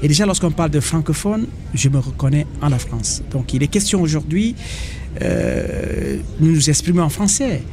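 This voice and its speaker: Man from Cameroon, earnest, hesitant, fairly high pitch, light background noise. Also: lively intonation. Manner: hesitant, earnest, many pauses. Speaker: association representative. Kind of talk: radio interview. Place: outdoor association fair.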